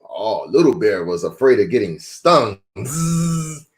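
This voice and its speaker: A man hosting storytime for young children, reading and chatting in a playful, encouraging, deliberate way. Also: medium pitch, very animated, slight background noise. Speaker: children's storytime host, pastor. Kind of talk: animated picture-book reading and chat for young children.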